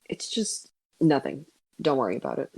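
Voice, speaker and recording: A low-pitched, dry voice for a guarded young man in an audio fan drama, acted by a woman. He mutters and grumbles with sarcasm, reluctant but secretly soft, and the recording is close and dry. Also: lively intonation, normal speed, vocal fry, husky, no echo, heavily acted, poor audio. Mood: hesitant, curious. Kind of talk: audio fan fiction acting.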